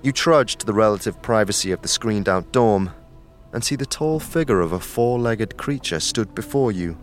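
A male narrator with a very low, deep voice tells a story steadily in the second person. His delivery is descriptive and scripted. Music plays clearly behind him. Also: animated, moderate pace.

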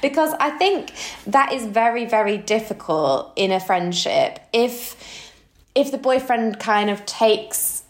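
A woman's voice: on the high side, animated, unhurried. A woman in her early thirties chatting casually and reflectively as a podcast co-host, recorded in a roomy, echoey space. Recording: roomy, echoey room sound.

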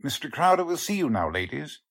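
Man playing deferential clerk with lively pitch. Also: a little fast, full character voice. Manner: polite, timidly insistent. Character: deferential, obedient chief clerk. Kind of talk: 1940s radio acting.

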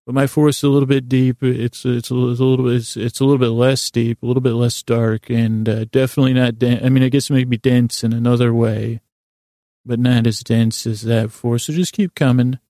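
Guy doing a sleepy bedtime podcast, creaky voice, flat even pitch, gentle rambling that keeps restarting. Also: no room sound, clean studio sound. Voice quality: creaky, gravelly. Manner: meandering, halting, repeats and restarts phrases; gentle, lulling, soothing. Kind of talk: rambling, improvised bedtime storytelling.

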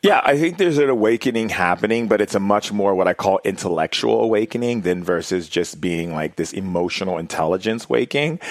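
Effusive low-voiced man, dry recording. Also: dry recording. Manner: effusive, repeats phrases for emphasis. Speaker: interview guest. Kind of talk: conversational personal reflection.

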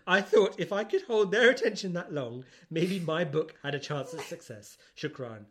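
Guy podcast host, kinda high voice, giggly and teasing, just chatting away. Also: lively intonation, normal speed, fairly bright tone. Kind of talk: casual comic book chat.

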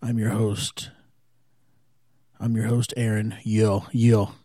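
A man's voice: fairly low pitch, level tone, slow pace, mellow, dry recording. A man hosting his own podcast from home, chatting casually in a laid-back, rambling way. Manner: laid-back and rambling, with frequent fillers and chuckles. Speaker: podcast host. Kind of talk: casual solo podcast chat. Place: at home by an open window.

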